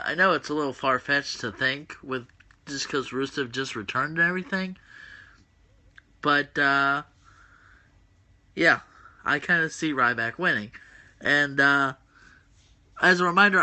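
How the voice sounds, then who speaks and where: A man hosts a loose, rambling livestream from home on his phone. His voice is groggy yet very bright and slightly high, and he fills his talk with hesitations while chatting with viewers. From West Virginia. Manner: rambling, many filler "uh"s, reads chat comments aloud. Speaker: host of a wrestling predictions stream. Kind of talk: casual livestream chat.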